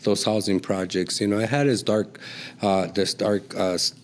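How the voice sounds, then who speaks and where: A man's voice: fairly low pitch, steady tone, normal speed, slight background noise. A man, telling stories casually and conversationally as a podcast guest. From born in El Paso, raised in Juarez and Los Angeles. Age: 40s. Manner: conversational, peppered with "you know". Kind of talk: casual storytelling in an interview.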